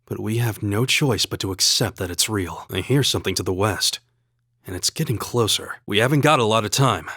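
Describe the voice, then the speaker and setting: A man voicing a calm, devoted young academy student warrior in a video game, speaking in a measured, sincere and formal way. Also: lowish voice, slightly rough, dry recording, clean studio sound. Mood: serious. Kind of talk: English video game voice acting.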